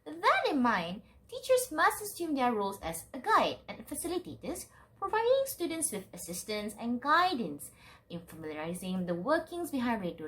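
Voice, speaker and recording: A woman's voice: high voice, a bit bright, poor audio. A young Malaysian woman reading a scripted student presentation in a formal, careful manner.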